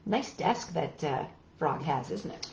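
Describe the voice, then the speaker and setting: A woman's voice: deep voice, expressive, a little slow, gravelly, darkish tone, slight background noise. Woman storytime host in a roomy recording. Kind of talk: storybook read-aloud with chatty asides to children. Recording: roomy, dull lo-fi sound.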